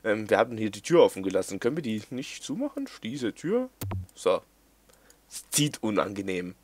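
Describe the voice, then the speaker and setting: A young man doing casual let's-play commentary, playful and quick to giggle, reading game lines aloud in silly voices. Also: fairly low pitch, sing-song, slight background noise. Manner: giggly, playful, breaks into laughter. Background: faint game music.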